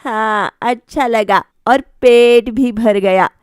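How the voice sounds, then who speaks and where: A woman's voice: on the high side, slow pace, clear, resonant tone, no echo, clean studio sound. A woman voicing an older, plain-spoken but approving mother-in-law in a family cartoon. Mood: content, noncommittal.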